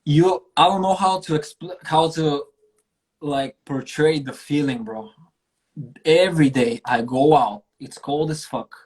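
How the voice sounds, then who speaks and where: A man's voice: fairly high pitch, a little slow, darkish tone, rough recording. A man from Bulgaria telling stories casually as an interview guest, speaking earnestly and emphatically with slang and some swearing. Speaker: interview guest, singer and street performer.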